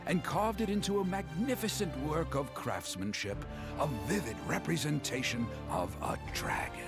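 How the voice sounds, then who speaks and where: A man's voice: a little high, expressive, moderate pace, gravelly. A man playing a theatrical storyteller in a video game, reciting an old legend dramatically and expressively. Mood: dramatic, wondrous. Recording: game audio with loud music.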